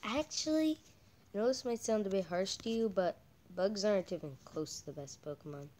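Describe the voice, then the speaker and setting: A woman doing casual, halting game commentary as a channel host, her voice croaky from a cold. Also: mid-range voice, animated, warm tone, slight background noise. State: croaky with a cold, coughing and throat-clearing.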